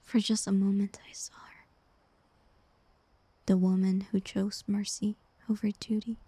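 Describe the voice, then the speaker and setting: A woman playing a tender, heartbroken bard facing a former lover in an intimate fantasy ASMR roleplay, speaking softly and wistfully in a breathy near-whisper. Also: mid-range voice, level tone, no echo. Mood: longing. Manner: slow, gentle, wistful delivery with pauses.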